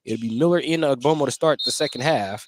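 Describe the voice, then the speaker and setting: A man's voice: medium pitch, quick pace. Male basketball play-by-play announcer, noisy background. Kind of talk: live radio basketball commentary. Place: at courtside or press position in a gym during a game.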